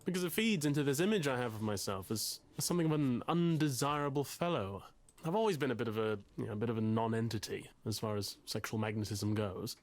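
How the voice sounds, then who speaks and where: A man's voice: mid-range voice, fast, no room sound, natural voice. An actor playing a nervous, self-pitying lonely man in a visual novel, speaking formally and hesitantly with fussy, wordy phrasing. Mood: self-conscious.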